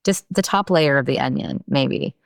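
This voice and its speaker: A woman hosting a podcast, asking thoughtful, measured interview questions. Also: a bass voice, creaky, dry recording, clean studio sound. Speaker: podcast host. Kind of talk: conversational interview.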